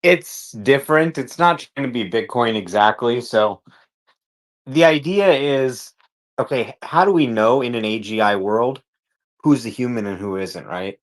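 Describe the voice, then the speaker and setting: A man with a fairly low voice talks as a guest on a panel. His style is casual and explanatory, laying ideas out in plain terms and posing rhetorical questions.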